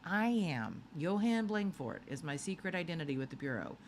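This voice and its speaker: A woman's voice: on the low side, sing-song, normal speed, slight background noise. A woman voicing an eager, clever boy who works as a secret agent in a children's storybook. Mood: proud.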